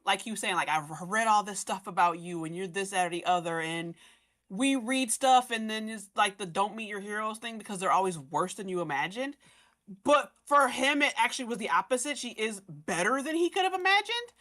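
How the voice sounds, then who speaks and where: A woman with a bright, pressing tone talks through a piece of fiction. Her delivery is casual and slangy, rambling as she explains and quotes what characters might think. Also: medium pitch. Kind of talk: casual fan discussion of a fiction story, acting out characters' thoughts.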